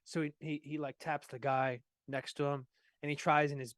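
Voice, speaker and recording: Higher-voiced American guy, casual and sweary. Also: level tone, moderate pace, a bit bright, no echo, clean studio sound. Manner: blunt, sweary, joking. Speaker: livestream co-host. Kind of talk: casual chat with anecdotes.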